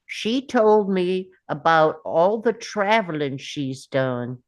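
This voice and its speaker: Simple, earnest young man in love, read by a woman, unhurried and low, for a film script table read. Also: lively intonation. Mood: wistful narrating.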